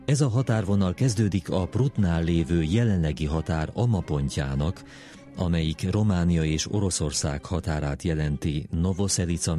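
A man with a very low, dark voice reads formal treaty text aloud. His delivery is measured and official, with faint music behind him. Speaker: document reader in a history programme.

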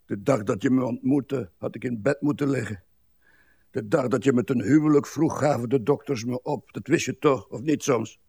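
An actor playing an ailing, blind old husband who grumbles at length in a morbid, crotchety way, in a literary radio play. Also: male voice, medium pitch, moderate pace, creaky, warm tone, slightly rough, dry recording, clean studio sound. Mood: querulous. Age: older. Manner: morbid, querulous, long-winded grumbling with musing asides. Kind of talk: literary radio drama acting.

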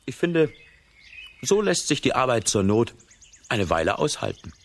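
A man's voice: mid-range voice, big pitch swings, unhurried. A young man playing a lazy, food-loving farm lad, leisurely and self-satisfied, in a children's radio play. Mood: contented, drowsy. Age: young adult. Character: lazy, food-loving seventeen-year-old Russian farm lad, easygoing.

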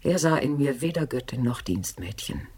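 Female ghost of a dead wife: husky, whispery, wistful, reproachful, very mobile pitch. Radio drama. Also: animated, moderate pace, full character voice. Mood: wistful, wounded. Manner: hushed, often drops into whispering.

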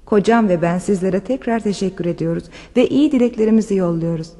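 In a reverberant hall sound, an actress plays a gracious countess for radio theatre. Her voice is soft-edged and mellow, of middling pitch, and she speaks with formal, gentle courtesy. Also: quick pace, close to an everyday voice. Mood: formal, warm gratitude. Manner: polite, soft-mannered, formal. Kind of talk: Turkish radio theatre acting.

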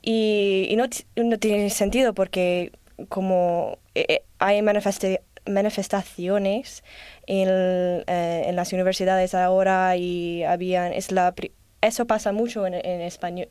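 An American woman with an English-speaking accent joins a radio discussion, pausing often as she hunts for words. Her voice is smooth and clean, recorded close and dry in a studio. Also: lowish voice, level tone, darkish tone, no room sound. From Wisconsin, United States. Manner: halting, searches for words. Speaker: discussion guest. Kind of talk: radio round-table discussion.

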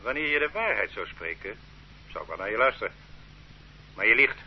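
An actor playing a stern state interrogator who bullies and threatens a suspect in a radio play, in a dull old recording with faint hum. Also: male voice, on the low side, big pitch swings, fairly quick. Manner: bullying, condescending. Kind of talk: radio drama acting.

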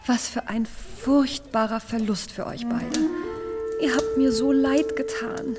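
An actress playing a gentle, sympathetic young mother who speaks softly and earnestly. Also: a little high, slow pace, big-room sound, over loud music. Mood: tender. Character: gentle, tender young mother, easily moved. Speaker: actress in a radio play.

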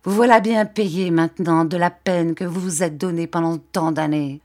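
A woman's voice: on the low side, fairly even intonation, moderate pace, darkish tone, close to an everyday voice. A woman reading a storybook, voicing a bitter scarred woman in passionate fury, with imperious, accusing commands and reproaches. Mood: fierce reproach. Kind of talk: dramatic audiobook acting of a classic novel.

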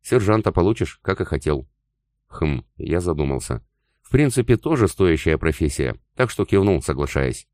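A man reading a novel aloud in the first person, with even, unhurried storytelling. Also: deep voice, dry recording, clean studio sound. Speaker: audiobook narrator. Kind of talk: first-person scripted narration.

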